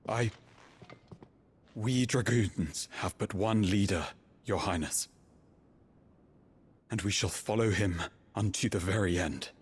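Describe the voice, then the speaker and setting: Husky, slow-spoken loyal dragoon. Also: male voice, on the low side. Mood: devoted. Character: loyal, earnest dragoon serving his prince. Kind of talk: dramatic video game cutscene acting.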